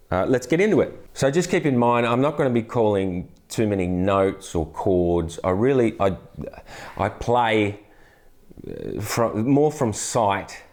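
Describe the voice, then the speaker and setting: A man, teaching guitar in a relaxed, chatty way with plenty of restarts, on a lapel mic in a studio. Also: low-pitched. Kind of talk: casual guitar tutorial. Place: indoor studio, brick wall backdrop. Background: acoustic guitar playing.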